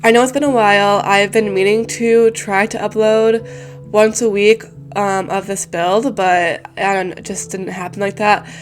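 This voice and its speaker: Young woman, stuffy congested voice, casual rambling chat, soft music underneath. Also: medium pitch, fairly even intonation, moderate pace. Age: young adult. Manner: rambling, many fillers and restarts. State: congested, recovering from a cold. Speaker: game building creator. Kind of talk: casual chat over a speed build.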